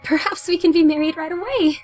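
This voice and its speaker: A woman's voice: very high-pitched, brisk, darkish tone, soft background music. A woman playing a devoted, tender young fiancee in a melodramatic old-time radio style. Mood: adoring.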